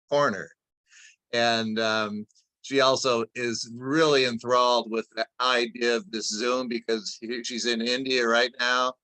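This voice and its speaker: A man hosting a storytelling event on a video call, giving informal introductions in a halting, rambling way. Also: mid-range voice, sharp tone, dry recording, rough recording. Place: indoors on a Zoom video call. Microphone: video call microphone.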